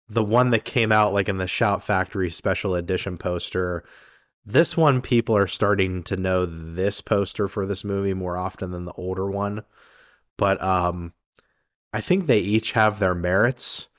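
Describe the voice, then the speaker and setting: A man co-hosting a casual film talk, his voice raspy from being sick, rambling with many ums and restarts. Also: deep voice, fairly even intonation, a little slow, a bit bright, no echo, dull audio. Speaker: podcast co-host.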